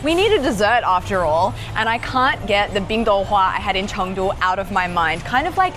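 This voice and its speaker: A woman's voice: mid-range voice, very animated, a little fast. A woman with an Australian accent hosting a casual vlog, chatty and playful. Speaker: vlog host. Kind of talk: casual vlog narration and chat. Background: music.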